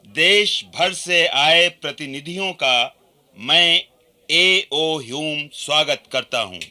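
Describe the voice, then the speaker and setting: An actor playing an earnest, dignified British reformer addressing an assembly in a formal, deliberate radio-play manner. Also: male voice, a little high, sharp tone, exaggerated voice. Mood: formal, proud. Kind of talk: Hindi radio play oratory.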